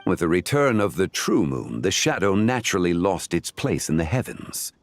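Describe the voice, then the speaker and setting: A man's voice: low voice, big pitch swings, normal speed, no room sound. An actor playing a composed, erudite, enigmatic man in a fantasy video game, speaking formally and eloquently. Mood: explanatory, grave. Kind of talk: video game character dialogue.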